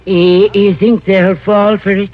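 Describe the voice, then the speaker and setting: An actor playing a folksy, easygoing old-timer with a high, aged voice in an old radio drama. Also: male voice, little pitch movement, slow. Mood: curious, folksy. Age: older. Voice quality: high-pitched old man's voice. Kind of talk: 1950s radio drama acting. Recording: dull old radio recording with music.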